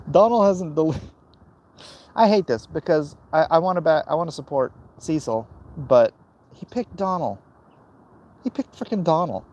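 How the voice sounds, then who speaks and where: A man with a gravelly voice hosting a casual, opinionated livestream, answering chat in a blunt, dismissive way and muttering between thoughts. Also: high-pitched, a little mellow. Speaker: livestream host reading and answering chat comments.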